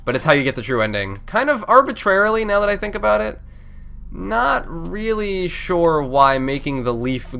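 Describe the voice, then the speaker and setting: A man's voice: medium pitch, gravelly, fairly bright tone, slight background noise. A man with a congested, stuffed-up voice giving casual, rambling video game commentary, often interrupting himself and swearing freely. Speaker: let's play host. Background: video game music.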